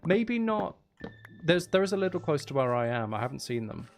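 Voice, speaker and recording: A man's voice: a little high, very animated, moderate pace, faint music behind. A man live streaming in a casual, chatty way, with dry, teasing humour while he plays a game.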